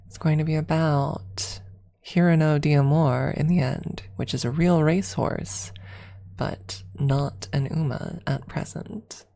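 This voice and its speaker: A woman with a low, mellow voice speaks close to the mic in a soft ASMR register. Her delivery is slow and gentle, with a breathy texture that often slips toward a whisper. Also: fairly even intonation. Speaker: streamer. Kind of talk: ASMR, casual chat and soft story reading. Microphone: close-up microphone.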